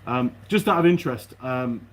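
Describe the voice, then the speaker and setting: A man's voice: mid-range voice, expressive, moderate pace. A man chatting casually and unscripted to his viewers as a mechanic vlogger, rambling along and thinking aloud in his workshop.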